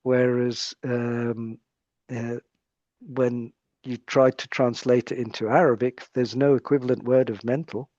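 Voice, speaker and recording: A man's voice: medium pitch, level tone, unhurried, darkish tone, dry recording, highs cut off. A British man speaking as a psychiatrist guest in an interview conversation, explaining his points in a thoughtful way and often restarting his phrases.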